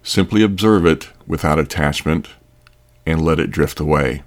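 A man narrating a scripted sleep meditation in a calm, soothing, gentle manner. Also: rumbling low, normal speed.

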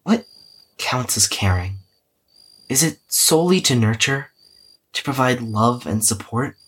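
A man's voice: mid-range voice, animated, a little slow, a bit bright, slightly rough. An actor playing a weary, self-effacing young man who hesitantly records a wry, cynical audio diary in a drama podcast. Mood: bitter, brooding. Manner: hesitant, musing, wryly cynical.

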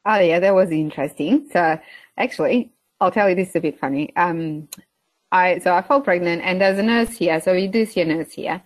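A woman in her thirties speaks with a Portuguese accent in a fairly low voice, recorded dry and close. She chats casually as an interview guest, pausing often with fillers. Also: no room sound. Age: 30s. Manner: hesitant, many fillers, laughs between phrases. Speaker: guest, beef farmer.

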